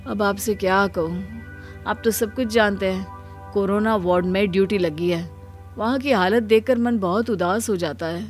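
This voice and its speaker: A woman's voice: medium pitch, moderate pace, soft background music, close to an everyday voice. A woman voicing a weary, compassionate male doctor in a cartoon moral story, speaking earnestly. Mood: sad, burdened.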